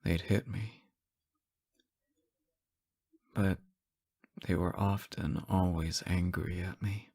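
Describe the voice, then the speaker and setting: Man acting a slow, stammering, lovesick fallen angel. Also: sits low, fairly even intonation, a little mellow, breathy edge, full character voice. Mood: hurt, subdued. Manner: stammering, halting, pleading. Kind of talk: emotional roleplay audio acting addressed to the listener.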